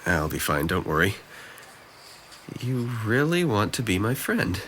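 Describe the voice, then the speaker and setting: A man's voice: lowish voice, big pitch swings, unhurried, a bit bright, slight background noise. A man acting a depressed, guarded young man in an audio drama, speaking with dry, self-deprecating hesitance. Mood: guarded, doubtful.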